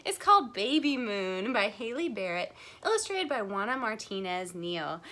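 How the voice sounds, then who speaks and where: A woman hosting a children's storytime, talking to young viewers in an animated, encouraging way with playful questions. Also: mid-range voice, normal speed. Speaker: children's library storytime host.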